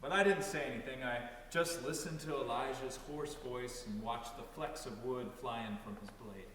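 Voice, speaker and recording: Mid-pitched man, very echoey story reading. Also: moderate pace, a bit bright. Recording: very echoey hall, distant mic, room noise.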